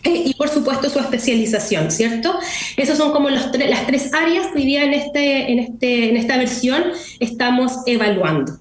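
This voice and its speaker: A woman with a Chilean Spanish accent, joining remotely as an interview guest and explaining in a steady way, often adding tag questions. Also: high-pitched, normal speed. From southern Chile. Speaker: guest, executive director of a nonprofit. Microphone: remote connection. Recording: echoey room with faint noise.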